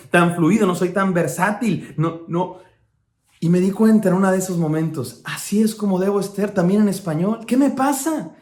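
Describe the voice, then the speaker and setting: A man from Mexico with a Mexican Spanish accent, preaching an emphatic sermon as pastor. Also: high-pitched. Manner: emphatic preaching, acts out quoted speakers. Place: church hall. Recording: echoey church hall.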